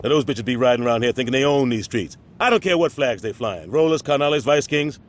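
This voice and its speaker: A man's voice: medium pitch, quick pace, close to an everyday voice. An actor playing a stern, fatherly middle-aged street gang leader in a video game, speaking with authority in street slang. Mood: rallying. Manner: authoritative, speechifying.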